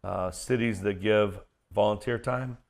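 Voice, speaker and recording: Deep, booming American baritone man. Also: fairly even intonation, a little slow, creaky. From Kansas City area, United States. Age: middle-aged. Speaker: guest, event MC and voiceover artist. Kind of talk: casual interview chat with stories.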